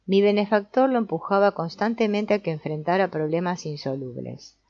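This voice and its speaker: A woman reading an audiobook as narrator in a measured, explanatory way. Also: on the low side, dark tone. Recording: dull, lo-fi sound.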